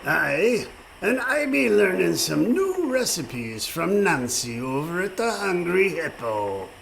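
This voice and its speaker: An actor playing a genial, folksy pirate in a comic radio play. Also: male voice, sits high, very animated, slow, gravelly, a bit bright, slight background noise, heavily acted. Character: genial rustic pirate with old-salt speech.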